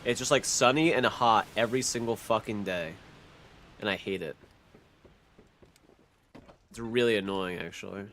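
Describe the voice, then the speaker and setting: A man's voice: fairly low pitch, normal speed, pauses often, fairly bright tone, a little hiss or hum. A young man streaming a game with a stuffy nose, chatting casually, repeating phrases and swearing. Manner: repeats phrases, profane, laid-back. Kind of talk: casual live-stream chat. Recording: faint music behind.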